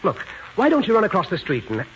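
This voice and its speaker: Exasperated, swooping radio-comedy dad. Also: male voice, high-pitched, wildly swooping pitch, quick pace. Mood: pompous, irritated. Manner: theatrical, pompous, long-suffering. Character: exasperated, self-important suburban father. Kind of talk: 1940s radio comedy acting. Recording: muffled, very noisy 1940s radio recording.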